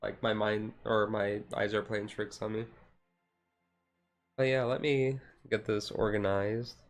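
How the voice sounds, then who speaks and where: A man's voice: fairly low pitch, flat delivery, a little slow. A man streamer chatting casually and rambling in a self-deprecating way as he talks to his live viewers. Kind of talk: casual live-stream chat while sorting cards.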